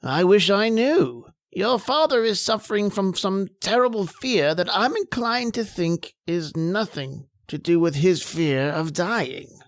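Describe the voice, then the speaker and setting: An actor playing a grave, kindly family doctor in an old-time radio drama, speaking in a measured, concerned way. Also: mid-range voice, lively intonation, no echo, studio quality. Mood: troubled, concerned.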